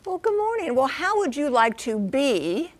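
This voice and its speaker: A mature American woman reporting as a TV correspondent. Her voice sits on the high side. She explains conversationally, with folksy asides and emphatic delivery. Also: sing-song, normal speed. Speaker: transportation correspondent. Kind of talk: conversational live TV news explainer.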